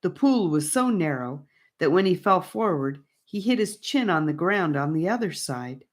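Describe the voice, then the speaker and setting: A woman narrating a fantasy audiobook in a measured, deliberate storybook style. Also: on the low side, expressive.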